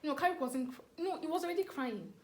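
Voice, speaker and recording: High-voiced animated Nigerian woman storyteller. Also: fast. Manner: animated, dramatic, emphatic, repeats phrases for effect. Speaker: vlogger telling a family story. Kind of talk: casual storytelling to camera.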